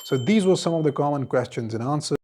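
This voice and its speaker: A man, teaching an English lesson and giving clear, deliberate model answers, each repeated in several forms. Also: a little high, animated, darkish tone. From Khyber Pakhtunkhwa, Pakistan. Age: 20s.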